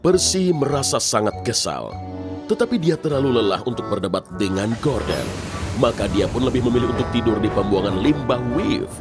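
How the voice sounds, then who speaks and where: A male narrator tells a children's story. The reading is expressive, with lively pitch movement, over loud background music. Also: moderate pace.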